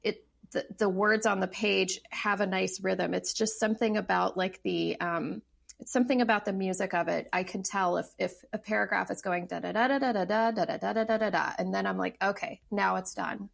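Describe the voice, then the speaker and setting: Thirties woman author guest, heavy vocal fry, mid pitch, thinks aloud. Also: fairly even intonation, fairly quick. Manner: thoughtful, many fillers and restarts. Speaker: podcast guest, novelist. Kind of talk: casual interview talk.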